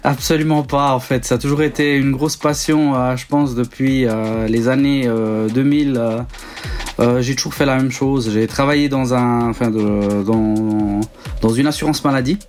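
A Swiss-raised man whose mother tongue is Italian, speaking at a middling pitch. He talks casually and hesitantly, filling gaps with ums and restarting his sentences as a guest on a radio chat. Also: fairly even intonation, normal speed, darkish tone, slight background noise. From Switzerland. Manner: hesitant, many ums and restarts, laughs often. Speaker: DJ and producer guest. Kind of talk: casual interview answers.

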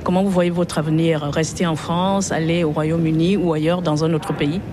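A man's voice: fairly high pitch, little pitch movement, moderate pace. A man interviewing a guest with polite, formal questions. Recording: crowd noise outdoors with traffic.

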